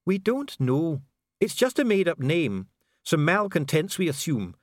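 A man's voice: fairly high pitch, very animated, unhurried, no echo, studio quality, pitched above the performer's own voice. A man voicing a solemn, disdainful dwarf official in an audiobook, formal, precise and pompous. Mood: stiff, solemn. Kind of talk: audiobook character acting.

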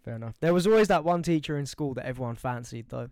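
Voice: mid-range voice, lively intonation, fast, a little mellow, dry recording, clean studio sound. A young adult British podcast co-host in casual banter, blunt and full of slang and swearing.

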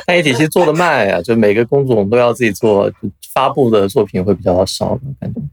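Casting: man, mid-pitched, conversational podcast co-host, close and dry sound. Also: lively intonation, fast, dry recording. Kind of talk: casual podcast chat.

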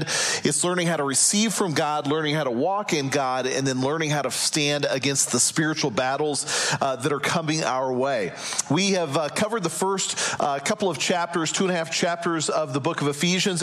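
Pastor preaching with a loud, projecting voice. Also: male voice, a little high. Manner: conversational, wry humor then earnest teaching. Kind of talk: sermon. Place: church sanctuary. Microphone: no microphone, loud projecting voice.

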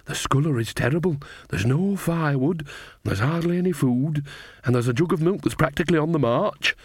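A man's voice: a little high, lively intonation, brisk, husky, dry recording, clean studio sound, voice pushed up. A man voicing a cheerful, earthy old woman witch with a folksy, chatty manner. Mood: whispering.

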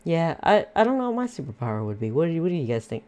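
A man's voice: fairly high pitch, big pitch swings, racing, dark tone. A young man co-hosting a casual podcast, teasing his friends, laughing often and cussing freely. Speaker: podcast co-host. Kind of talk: casual chat among friends.

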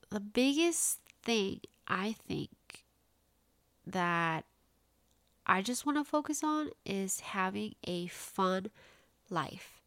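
A woman in her late twenties hosts her own podcast and chats on in a bubbly, filler-heavy way. Her nose is stuffed up and congested, and her voice sits at an ordinary middle pitch. The sound is dry and close, with no room around it. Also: clear, resonant tone, no room sound. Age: late 20s. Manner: chatty, bubbly, lots of "like" fillers. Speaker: podcast host. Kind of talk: casual solo podcast chat.

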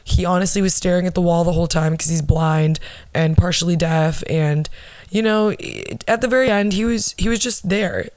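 A young woman hosts her own podcast with a low alto voice, in a casual, rambling way. She swears freely and pokes fun at herself. The sound is dry and close, with no room around it. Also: normal speed, a little mellow, dry recording. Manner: rambling, profane, self-mocking. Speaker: podcast host. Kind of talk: casual storytelling monologue.

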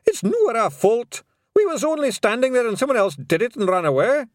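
A man voicing a small, boastful Scottish fairy warrior who blusters and shouts in a Scottish accent. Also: extremely high pitch, sing-song, a little fast, no room sound, studio quality, voice pushed up. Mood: desperate.

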